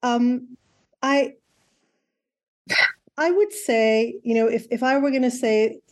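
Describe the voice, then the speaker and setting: Mellow-voiced woman, thoughtful and halting. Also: a little high. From Iran. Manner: thoughtful, many ums and restarts. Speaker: learning specialist guest. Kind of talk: interview answers.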